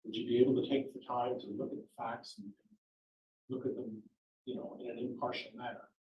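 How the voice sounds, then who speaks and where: Man attorney addressing a jury panel, loud and projecting, full of "um"s and restarts. Heard from a distance in a big, reverberant courtroom, his voice carrying as he circles his points. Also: mid-range voice, little pitch movement, fairly quick, muffled recording. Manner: loud projecting voice, rambling with fillers. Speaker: attorney questioning prospective jurors. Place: large courtroom, indoors. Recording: echoey courtroom audio from far off.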